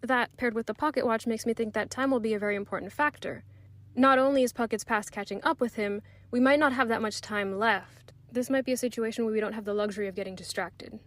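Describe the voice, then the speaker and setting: A woman's voice: fairly high pitch, fast talker, no echo. A woman giving prepared, articulate commentary as a listener's recorded submission to a podcast.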